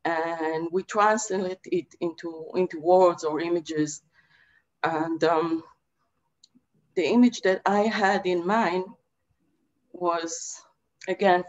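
A middle-aged woman from Israel talks slowly and reflectively, pausing often and searching for her words. Her voice sits a little low. The sound is dull and lo-fi, like a webcam on a video call. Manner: measured, thoughtful, many pauses and fillers. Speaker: panelist poet. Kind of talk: reflective panel talk. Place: home study with bookshelves.

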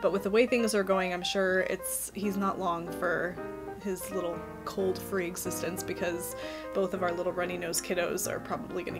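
A woman in her thirties chats to camera from a cozy home office, her voice slightly nasal from a cold. She talks loosely and warmly with plenty of ums and little laughs, her pitch sitting in a middle range, and music plays clearly underneath. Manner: chatty, many ums, frequent light laughs. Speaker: knitting podcast host. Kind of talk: casual chat to camera.

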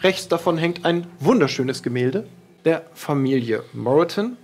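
A man's voice: a little high, animated, measured pace, husky. A man narrating a tabletop horror game as game master.

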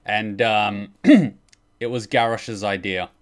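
A man's voice: on the low side, takes it slowly. A young adult man giving casual livestream commentary as the host of a reaction stream. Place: bedroom, indoors.

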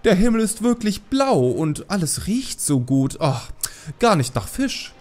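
Man acting out giddy panda fisherman, raised pitch, swooping intonation, carefree, exuberant, chuckling, audiobook character. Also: big pitch swings, measured pace, a little mellow. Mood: overjoyed, enthusiastic. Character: cheerful eccentric long-lost panda fisherman, giddy and carefree.

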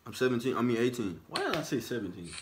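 A man's voice: medium pitch, animated, racing, over a noisy crowd. A young man chatting with strangers on a video call from his bedroom, in a laid-back, teasing, dismissive way. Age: young adult. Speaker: vlogger on random video chat. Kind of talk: casual teasing chat. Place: small bedroom indoors. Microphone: webcam or phone camera.

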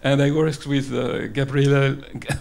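Gravelly-voiced male professor. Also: medium pitch, flat delivery, a little slow, a little mellow. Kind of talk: conference self-introduction.

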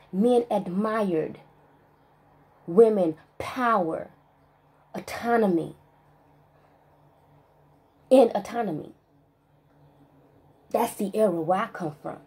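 A woman vlogger in a spiritual rant monologue, emphatic and profane, stressing single words with long pauses. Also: mid-range voice, a little mellow, slightly rough.

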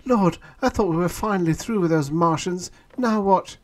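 A man voicing a nervous woman passenger aboard a spaceship in an audiobook story, speaking in a high, strained falsetto. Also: moderate pace, natural voice. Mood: anxious.

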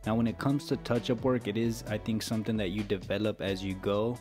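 Low, even-toned American man over music. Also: brisk. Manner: casual, step-by-step explaining. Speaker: barber giving a tutorial. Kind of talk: instructional voice-over narration. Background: background music with guitar.

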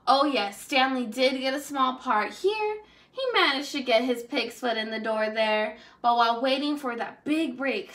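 A young woman reads a children's storybook aloud in a high-pitched voice. She sounds like a teacher with a class of small children, expressive in delivery and now and then stumbling on a word. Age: young adult. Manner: expressive, with stumbles over words. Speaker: teacher reading aloud to first graders. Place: indoors, small room at home.

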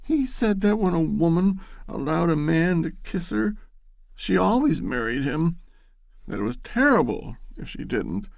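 A man's voice: on the high side, big pitch swings, unhurried, creaky, dry recording, clean studio sound, pitched above the performer's own voice, heavily acted. A man voicing a naive young woman who sobs and quavers as she pleads. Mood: distraught, pleading. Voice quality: quavering, sobbing.

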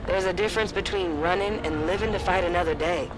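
Guy doing an unflappable game soldier, high voice, talks quick and dry. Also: close to an everyday voice. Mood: dry, practical. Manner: matter-of-fact, dry. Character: practical, unflappable armored soldier. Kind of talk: video game voice acting. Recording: loud music and battle rumble behind.

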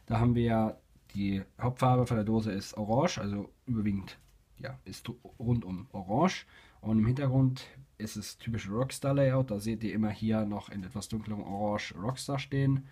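A young man with a cold, casually reviewing a product and talking in a halting way with many fillers and restarts. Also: on the low side, dark tone, slightly rough. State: has a cold. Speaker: YouTube reviewer. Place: indoors at a table, voice-over with hands on camera.

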